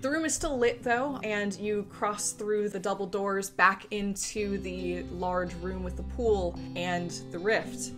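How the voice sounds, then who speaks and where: A woman's voice: medium pitch, fairly even intonation, slow, few pauses. A woman narrating a tabletop fantasy game as its game master. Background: background music.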